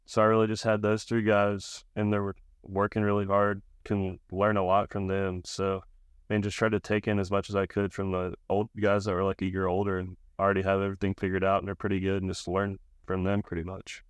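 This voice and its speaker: A young man speaks in a clean, resonant voice with very little melody, recorded dry and close. He answers interview questions casually, in a plain-spoken, low-key way. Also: monotone, a little fast, smooth, no echo. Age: young adult, about 20. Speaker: college baseball pitcher being interviewed.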